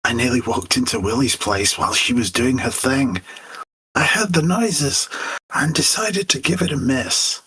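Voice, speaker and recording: A man's voice: mid-range voice, moderate pace, sharp tone, a little hiss or hum, close to an everyday voice. A man voicing a nervous, whining, cowardly fat demon in an audio story, speaking hesitantly. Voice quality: whining, husky.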